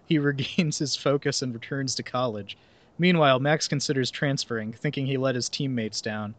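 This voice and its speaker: A man laughing through a wiki read. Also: mid-range voice. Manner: reads aloud with laughs and sarcastic asides. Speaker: podcast host reading a film synopsis. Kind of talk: casual comedic podcast chat.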